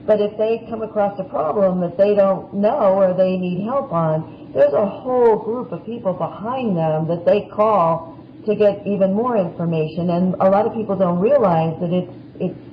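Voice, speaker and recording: A man with a notably high voice explains casually as a guest. The recording is dull with faint background noise. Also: moderate pace. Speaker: expert podcast guest.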